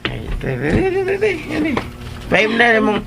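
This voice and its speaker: An actor playing a jolly, rambling drunk driver with slurred, repetitive, excitable chatter in a radio drama. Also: male voice, high voice, normal speed, creaky, exaggerated voice. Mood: excited.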